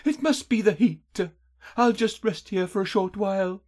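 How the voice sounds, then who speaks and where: A defiant young woman, a captive dragon rider in a fantasy storybook, played by a male narrator. The voice is raised well up in pitch, urgent and emphatic, with demanding phrasing. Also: normal speed, darkish tone. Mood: weary. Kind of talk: audiobook character voice.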